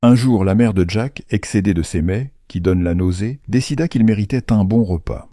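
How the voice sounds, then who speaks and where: A man narrating a scripted children's fairy tale in a playful, wry, measured storytelling manner. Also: fairly low pitch, warm tone, dry recording, studio quality.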